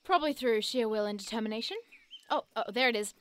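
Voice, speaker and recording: A woman acts a curious, nature-loving young woman in an audio drama. Her voice sits a bit high, and she speaks earnestly and politely, with small hesitations and stammers. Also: fast, close to an everyday voice. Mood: wry.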